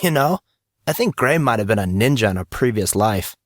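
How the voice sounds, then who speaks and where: A man voicing a wild, excitable teenage boy with a casual, teasing manner in an audio drama. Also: fairly low pitch, fairly quick, no room sound, studio quality.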